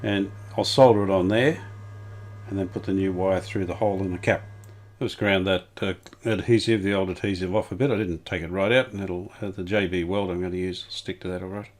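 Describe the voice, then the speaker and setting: A man's voice: low voice, steady tone, fairly quick. A man giving casual, unscripted commentary on a hands-on repair job in an understated, matter-of-fact way. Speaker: restorer presenting a hands-on vintage radio repair.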